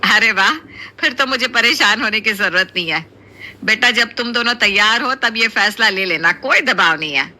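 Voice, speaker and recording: A woman voicing a warm, doting middle-aged Indian mother-in-law who chides playfully and exclaims affectionately in a Hindi cartoon family story. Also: mid-range voice, lively intonation, moderate pace. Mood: gentle, pleased.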